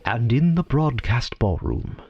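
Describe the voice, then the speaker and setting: An actor playing a solemn radio drama narrator, reading scripted narration in a measured, portentous manner. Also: male voice, medium pitch, big pitch swings, gravelly, breathy edge, dry recording, muffled recording, exaggerated voice. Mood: ominous, suspenseful.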